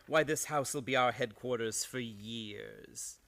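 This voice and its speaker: Man acting cold, scheming nephew, bright hard voice. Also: medium pitch, measured pace. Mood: scheming, controlled. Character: menacing, scheming nephew returning home, cold and domineering. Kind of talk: old-time radio play acting.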